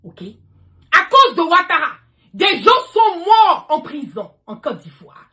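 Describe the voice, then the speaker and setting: A woman's voice: extremely high pitch, sing-song, unhurried, pushed and bright. A woman delivering an impassioned political livestream rant, emphatic, repetitive and confrontational. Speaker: livestream host.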